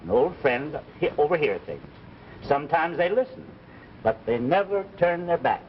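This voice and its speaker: High-voiced, cautious editor friend. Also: male voice, sing-song, moderate pace. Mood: warm, frank. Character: loyal, cautious male magazine editor, old friend. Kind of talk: 1950s science fiction anthology drama acting. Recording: dull, noisy 1950s broadcast recording.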